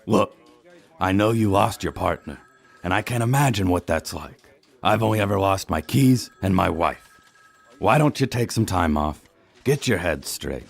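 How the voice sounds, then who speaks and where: Gruff police chief, deep husky voice, fed up and bossy, noir comedy radio play. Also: male voice, expressive, moderate pace, heavily acted. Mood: exasperated. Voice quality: gravelly, husky. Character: exasperated gruff police chief, stern boss. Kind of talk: comedic noir audio drama acting.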